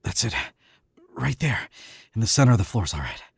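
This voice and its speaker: A man voicing an arrogant young killer in a radio play, his very low voice cool and in control. He gives clipped, smug orders with a dry, close sound. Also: sing-song, normal speed, vocal fry, breathy edge, dry recording, exaggerated voice. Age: young adult. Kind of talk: 1940s radio acting.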